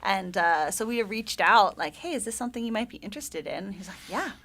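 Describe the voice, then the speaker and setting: A woman's voice: mid-range voice, expressive, fairly quick, a bit bright. A woman talking casually and enthusiastically as a theatre guest in a radio interview. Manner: enthusiastic, effusive. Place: radio studio.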